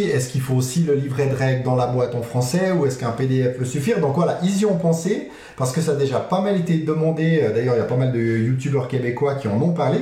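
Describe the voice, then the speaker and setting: A man's voice: medium pitch, dark tone. A man co-hosting a casual board game podcast, explaining at length with plenty of hesitations. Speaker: podcast co-host. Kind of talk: casual chat about board games. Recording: echoey room.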